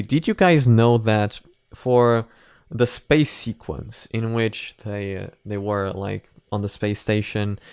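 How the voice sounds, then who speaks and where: A young man giving casual reaction commentary, rambling and halting as he thinks out loud. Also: fairly low pitch, smooth, dry recording, clean studio sound. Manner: rambling, halting, informal swearing. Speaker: reaction video host.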